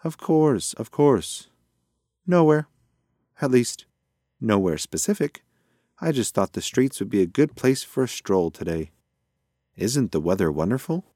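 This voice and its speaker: A man voicing a kindly, frail elderly male mouse in a children's storybook, gentle and apologetic as he rambles. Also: medium pitch, very animated, a little slow, pauses often, no echo, studio quality. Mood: gentle, cheerful.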